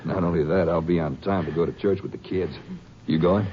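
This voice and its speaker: An amiable lawman partner, voiced by a male actor, speaks low with a wry, easygoing manner. The old radio drama recording is dull and a little noisy. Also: fairly even intonation, a little fast, natural voice. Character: loyal, amiable lawman, the ranger's family-man partner. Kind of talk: 1950s radio drama acting.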